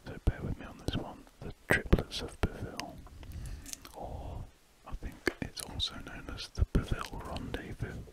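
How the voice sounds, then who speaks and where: A British man whispering breathily in a rambling, hesitant ASMR style, with trailing pauses. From United Kingdom. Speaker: ASMR artist narrating while drawing. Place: indoors at a desk, drawing. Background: pen scratching on paper.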